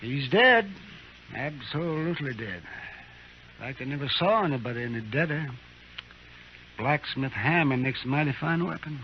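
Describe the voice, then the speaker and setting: An actor playing a crusty, shrewd older frontier doctor, wry and folksy, in a muffled old radio western. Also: male voice, mid-range voice, animated, moderate pace, long pauses, a little hiss or hum, close to an everyday voice. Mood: dryly sardonic. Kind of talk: old-time radio acting.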